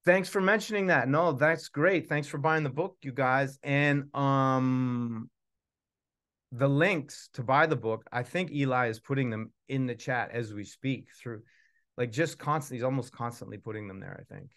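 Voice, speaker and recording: A man's voice: medium pitch, normal speed, fairly bright tone. A man in his forties chatting casually at a live book launch as a puppeteer and author, rambling and self-deprecating. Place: small indoor room with puppet stage.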